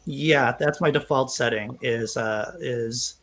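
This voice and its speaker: A man's voice: medium pitch, takes it slowly, fairly bright tone. A man chatting as a guest, rambling through wry, self-mocking remarks. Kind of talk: casual chat.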